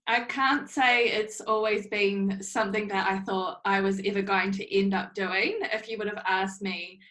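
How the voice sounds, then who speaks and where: Young woman, new zealand accent, chatty, laughs a lot, middle pitch. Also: fairly even intonation, unhurried, fairly bright tone. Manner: chatty, laughs often, many fillers. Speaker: interview guest, social media manager. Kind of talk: casual interview talk.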